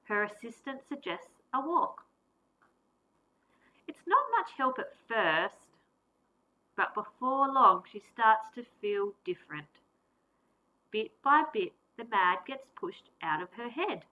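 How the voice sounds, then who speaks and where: Woman teacher for a storybook read-aloud, slightly high and bright, unhurried and expressive. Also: rough recording. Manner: expressive, deliberate storytelling with varied emphasis. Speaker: primary school teacher. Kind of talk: reading a picture book aloud.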